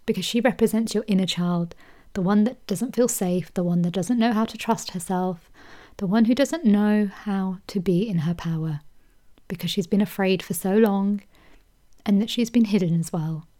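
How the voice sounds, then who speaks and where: A woman leading a guided meditation, speaking slowly and soothingly, sometimes dropping into a whisper. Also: medium pitch. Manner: slow soothing delivery with soft whispered phrases.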